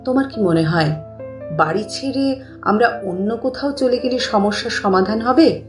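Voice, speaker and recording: A woman voicing a considerate, polite young bride who speaks gently and tentatively in an audio story. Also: a little high, moderate pace, natural voice. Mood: gentle, concerned. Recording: roomy, distant mic, music bed.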